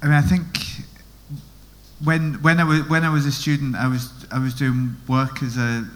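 A man's voice: medium pitch, normal speed, poor audio. A man, not originally from Scotland, talking as a guest on a live podcast panel, hesitant with many restarts. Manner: hesitant, restarts, flat delivery. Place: live event venue.

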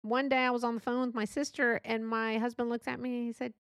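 A woman's voice: fairly high pitch, little pitch movement, fast talker, clean tone, dry recording, studio quality. A middle-aged woman from Texas with a Texan accent, hosting a podcast in a chatty, rambling, self-deprecating solo monologue. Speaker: podcast host.

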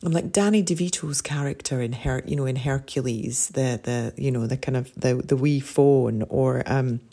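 A middle-aged Scottish woman hosting a podcast, talking in an earnest, rambling way with many fillers, her voice deepened and husky after a late night. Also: mellow. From Scotland. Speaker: podcast host and somatic coach. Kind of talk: reflective solo podcast talk.

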